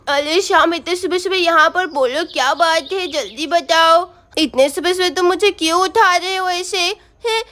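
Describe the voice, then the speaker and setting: A woman's voice: very high voice, bright, forceful tone, full character voice. A woman voicing a young daughter, anxious and tearful about her hurt mother.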